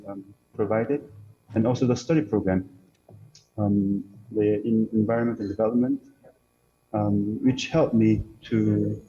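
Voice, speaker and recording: Husky low man on a call. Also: dark tone. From Korea. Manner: hesitant, many fillers. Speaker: alumnus panelist. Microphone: video call. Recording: dull lo-fi call audio.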